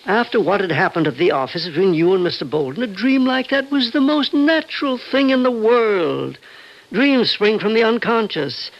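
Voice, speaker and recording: An actor playing a patronizing, skeptical doctor in an old-time radio drama, measured and explanatory. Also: male voice, sits high. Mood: reasoning. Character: patronizing, skeptical doctor reasoning with a troubled patient. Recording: muffled vintage radio recording.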